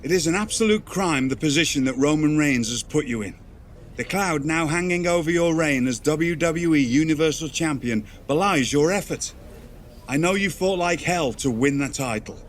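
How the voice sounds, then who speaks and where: Man acting as encouraging wrestling authority, raised pitch, formal, eloquent, game drama, faint background noise. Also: lively intonation, lightly acted. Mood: grave, sympathetic. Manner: formal, eloquent, deliberate wording. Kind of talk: dramatic video game dialogue.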